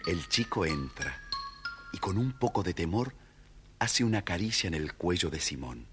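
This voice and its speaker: A man narrating a children's story from a script in an expressive, dramatic storytelling manner. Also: deep voice, moderate pace, over music.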